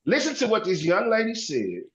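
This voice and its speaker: Hoarse, animated man in a roomy space. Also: sits high, brisk, lo-fi sound. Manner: animated, preachy. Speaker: commentary host. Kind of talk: reaction commentary.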